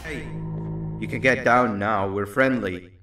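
A man's voice: on the low side, fairly even intonation, unhurried. A man voicing a male video game character who calls out to others. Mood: calling out. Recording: echoey, distant, under loud music.

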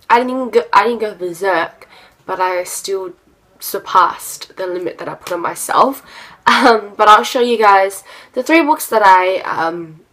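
A woman's voice: mid-range voice, fairly bright tone. A young woman vlogger who is sick and sounds nasal, chatting casually about books with lots of ums and restarts, recorded by a desk camera in a small bedroom. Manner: rambling with many ums and self-corrections. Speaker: book vlogger. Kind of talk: casual chatty book haul.

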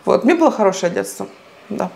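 A woman in her forties from eastern Ukraine, a psychologist giving a reflective documentary interview in her apartment on a lapel mic, pausing often to search for words. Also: medium pitch, animated, gravelly, darkish tone. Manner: thoughtful, searching for words with many fillers. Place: apartment living room, indoors.

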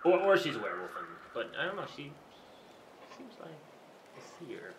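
Young guy playing a board game, soft spoken, pitch jumps around, echoey room. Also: big pitch swings, moderate pace. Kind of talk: casual chat during a deduction game. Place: small tiled room, indoors. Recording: roomy sound, faint background noise.

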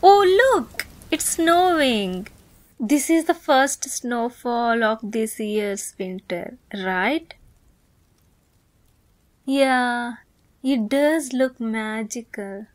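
Woman acting affectionate young girlfriend, very slow delivery, higher voice, soft and playful, ASMR romance roleplay. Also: dry recording. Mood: tender, shy. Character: teasing, affectionate young woman confessing to her first love.

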